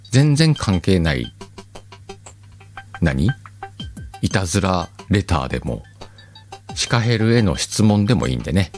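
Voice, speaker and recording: A man hosts a casual solo podcast, chatty and teasing, often chuckling at his own remarks. His voice sits very low, yet his intonation swings widely. Soft background music runs underneath. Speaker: podcast host.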